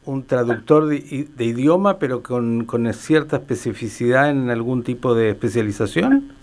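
A man's voice: mid-range voice, moderate pace, darkish tone. Chatty argentine radio host guy, playful, throws in english n german words. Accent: Argentine Spanish. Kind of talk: casual radio interview.

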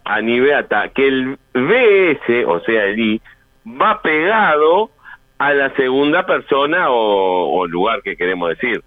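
Animated Rioplatense man, tinny radio sound. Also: mid-range voice, a little slow, dry recording. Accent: Rioplatense Spanish. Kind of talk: casual comic banter.

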